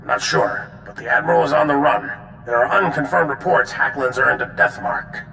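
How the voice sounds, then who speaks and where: An actor playing a weary, gruff military general in a video game, with a gravelly voice and echo around it. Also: male voice, moderate pace, bright, forceful tone. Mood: grave, urgent. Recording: echoey hall, noisy rumble.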